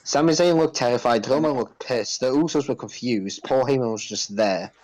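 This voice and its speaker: A man's voice: mid-range voice, moderate pace, darkish tone, slight background noise, poor audio. A man co-hosting a podcast, talking casually about pro wrestling. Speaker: podcast co-host.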